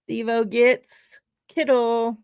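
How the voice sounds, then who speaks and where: A woman's voice: fairly high pitch, flat delivery, very slow, a little mellow, clean tone, dry recording, clean studio sound. A woman hosting a casual live-stream chat, laughing often and reading out giveaway numbers. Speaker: show host.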